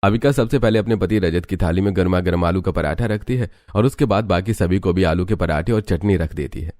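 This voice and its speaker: A man's voice: sits low, a little fast, a little mellow. A man narrating a scripted cartoon family story.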